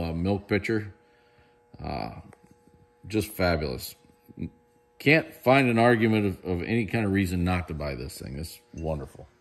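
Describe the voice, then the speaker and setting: A middle-aged man presenting auction lots in a casual, enthusiastic way, recorded on a handheld camera in a large warehouse room. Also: fairly low pitch. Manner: enthusiastic, chatty, praises pieces. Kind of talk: casual walkthrough of auction items.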